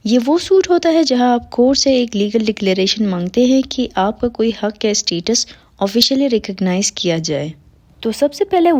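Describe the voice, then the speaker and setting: A woman's voice: fairly high pitch, warm tone. A woman with a Pakistani accent giving a methodical, formal, step-by-step explainer as an advocate, switching between everyday speech and English legal terms.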